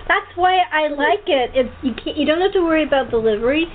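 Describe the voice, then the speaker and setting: A woman hosting a casual interview, speaking haltingly with repeated and restarted phrases. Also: high-pitched, expressive. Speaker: talk show host. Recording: tinny phone line with clear background noise.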